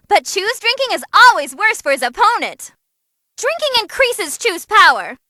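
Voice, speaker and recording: Super high bubbly demon girl fight announcer, all over the place, game voice. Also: sharp tone, no room sound, studio quality, heavily acted. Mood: brisk, emphatic. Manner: bubbly, theatrical, exclaiming. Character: excitable young female demon tournament announcer. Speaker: fighting tournament commentator. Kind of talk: excited match commentary, game voice acting.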